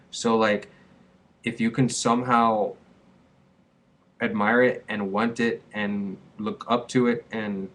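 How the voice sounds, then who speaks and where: A man in his twenties, a small business owner, talking casually and earnestly to camera with a rambling, repetitive flow. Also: on the low side. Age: 20s. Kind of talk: casual vlog advice to camera.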